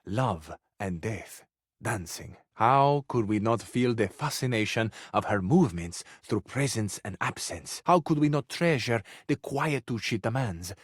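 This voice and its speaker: An actor playing a romantic Italian lover in a video game, with an Italian accent and a flowery, courtly, tenderly flirtatious manner. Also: male voice, fairly low pitch, expressive, measured pace, no echo, clean studio sound. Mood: romantic, poetic. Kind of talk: video game character acting, romantic dialogue.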